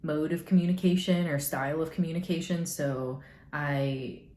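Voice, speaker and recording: Low-voiced woman, rambling vlog. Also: moderate pace, a little mellow. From United States. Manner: rambling, hedging, dry sarcastic humor. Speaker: YouTuber. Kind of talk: casual get-to-know-me vlog. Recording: echoey room, faint noise.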